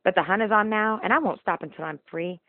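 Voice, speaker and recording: A dull, lo-fi and fairly dry recording of a woman at an ordinary mid pitch. She recites slam poetry with emotional force, leaning on the rhythm and rhymes of each line. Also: fast, dry recording. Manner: emotional, rhythmic rhyming delivery. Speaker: slam poet.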